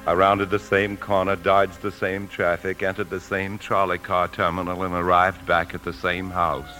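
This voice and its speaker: A very low, forceful male voice, a guilt-ridden American husband confessing his story in a 1950s radio play. He sounds weary and grave, with music in the recording. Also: level tone, normal speed. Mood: grave, confessional. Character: guilt-ridden, weary husband and bank employee turned thief. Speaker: lead actor and narrator. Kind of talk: 1950s radio drama acting, first-person narration.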